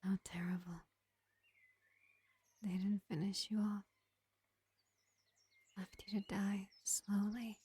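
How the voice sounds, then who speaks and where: A woman playing a gentle, ancient pacifist vampire in an intimate fantasy roleplay, speaking in an unhurried, soothing half-whisper. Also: medium pitch, flat delivery, slightly rough. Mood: grave, musing. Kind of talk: slow intimate ASMR-style fantasy roleplay.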